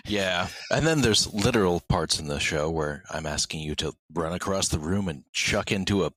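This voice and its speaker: Man, extremely low voice, dry close sound. Enthusiastic, casual, thinking aloud. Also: expressive, normal speed, fairly bright tone, no room sound, rough recording. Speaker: sound designer, actor, podcast co-creator. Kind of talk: casual reflective discussion.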